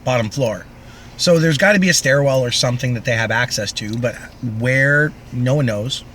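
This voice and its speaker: A man's voice: mid-range voice, moderate pace, a bit bright, a little hiss or hum. A man co-hosting a casual podcast chat, talking in a laid-back, terse way. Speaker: podcast co-host.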